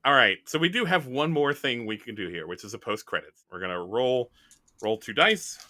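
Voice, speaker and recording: A man hosts a tabletop roleplay session with a bright, forceful voice and lively swings in pitch. The sound is close and dry as he improvises casually. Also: moderate pace, no room sound. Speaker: host and game narrator. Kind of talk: casual improvised game narration and chat.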